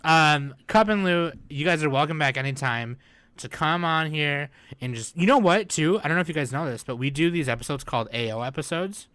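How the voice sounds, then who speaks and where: A woman hosts a casual podcast chat with a bright, animated voice. Her talk is slangy, profane and joking, recorded close and dry. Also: low-pitched, fairly quick, no room sound. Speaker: podcast host.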